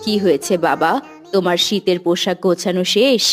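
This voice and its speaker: A woman voicing a patient, caring elder of the family who gives gentle advice in a cartoon. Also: fairly low pitch, brisk, soft background music, natural voice. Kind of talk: animated Islamic moral story voice acting.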